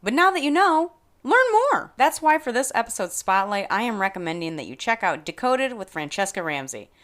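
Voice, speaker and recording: Female podcast host, scripted opinion monologue, very animated intonation, emphatic with playful asides. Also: mid-range voice, a little fast.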